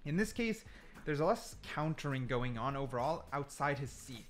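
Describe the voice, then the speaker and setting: A man's voice: mid-range voice, animated, moderate pace, fairly bright tone, faint music behind. A man giving casual gameplay commentary, explaining things and repeating points for emphasis. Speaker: gaming video creator.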